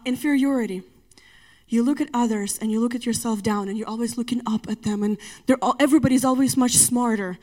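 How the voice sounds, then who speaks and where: Accented woman preacher, middle-aged, high voice, chatty asides that swell into loud calls to the congregation. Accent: non-native English accent. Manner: emphatic, rising to loud exhortation. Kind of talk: sermon.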